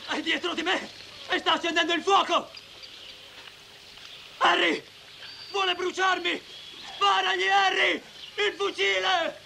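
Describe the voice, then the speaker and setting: Casting: desperate captive in film drama, frantic begging and cursing, gravelly, pitched extremely high. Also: male voice, flat delivery, unhurried, pauses often, slight background noise, exaggerated voice. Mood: panicked urging. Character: panicked, pleading captive man, cursing his armed companion. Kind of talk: dramatic film dub acting. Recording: loud film music underneath.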